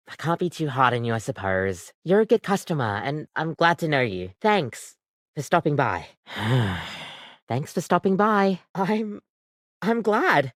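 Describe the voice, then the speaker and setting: A woman voicing a friendly, earnest young coffee maker come to life as a barista in a video game, with a chatty, casual, expressive delivery. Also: on the low side, dry recording, clean studio sound. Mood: earnest, hesitant.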